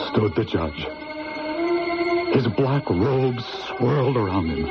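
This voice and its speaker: An actor playing a frightened, cautious young man in an old-time radio drama, speaking in a stammering, pleading, urgent manner. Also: low-pitched. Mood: awed dread. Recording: dull lo-fi radio recording, loud music.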